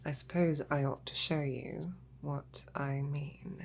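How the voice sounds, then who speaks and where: Woman doing a flirty devoted lady knight for asmr roleplay, low breathy husky voice, kinda creaky, courtly wording. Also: steady tone, a little hiss or hum, full character voice. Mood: teasing, flirtatious. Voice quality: breathy, husky, often whispering. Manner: slow, flirtatious, teasing, courtly phrasing. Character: devoted, teasing female knight courting a dragon princess. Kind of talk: intimate roleplay audio, ASMR.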